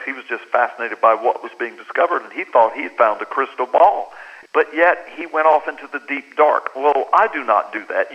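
An American man, a book's author, telling a story in a radio interview and acting out the voices of the people in it. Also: fairly high pitch. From United States. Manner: animated storyteller who acts out quoted dialogue. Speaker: author guest. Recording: lo-fi phone line.

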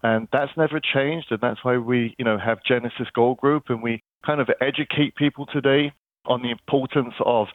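A man's voice: mid-range voice, steady tone, normal speed, no echo. A man with a London accent, a guest telling his story in an earnest, chatty way over the phone. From England. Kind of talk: phone interview. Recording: tinny phone line, dry.

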